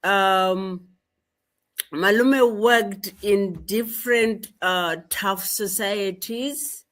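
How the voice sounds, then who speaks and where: Middle-aged woman guest, unhurried, measured delivery, often restates herself. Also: medium pitch, fairly bright tone. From rural Zimbabwe. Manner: slow, measured, repeats phrases. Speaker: guest activist. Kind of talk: interview storytelling.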